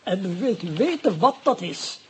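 A clipped, learned professor. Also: male voice, sits high, expressive, unhurried, a bit bright, a little hiss or hum. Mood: dry. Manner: dry and clipped, rattles off medical terms. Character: brisk, learned older professor.

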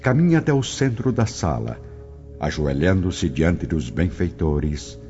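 A man's voice: low-pitched, big pitch swings, unhurried. A man narrating a scripted audio drama in a dramatic storytelling style. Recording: dull lo-fi, loud music behind. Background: loud music.

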